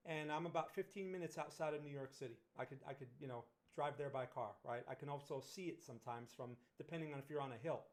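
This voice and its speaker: A man's voice: fairly high pitch, fast, few pauses. A middle-aged man from Northern New Jersey with a New Jersey accent, hosting a casual livestream about trading and often checking in with "right?". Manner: conversational, frequent "right?" tag questions and restarts. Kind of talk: casual livestream market analysis.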